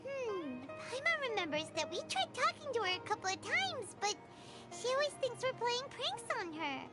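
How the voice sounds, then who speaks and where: Chatty little fairy sidekick, very high and lively. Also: female voice, normal speed, loud music behind the voice. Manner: excitable, chatty. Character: tiny chatty fairy companion girl. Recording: game audio with soundtrack.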